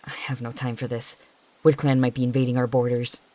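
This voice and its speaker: A woman's voice: rumbling low, little pitch movement, brisk, warm tone. Woman as a stern she-cat mentor, scolding. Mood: cold scolding. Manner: scolding, clipped questions. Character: stern, short-tempered adult female cat mentor.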